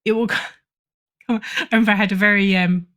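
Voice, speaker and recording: A woman's voice: medium pitch, fairly even intonation, fast, a bit bright. Chatty, self-deprecating middle-aged woman. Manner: self-deprecating, chatty, chuckles through remarks. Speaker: podcast guest, writer and editor. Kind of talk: casual interview talk.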